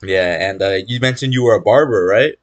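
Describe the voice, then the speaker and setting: A young man from California making casual conversation, relaxed, with lots of fillers and restarts. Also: on the low side, brisk, lo-fi sound. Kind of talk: casual chat.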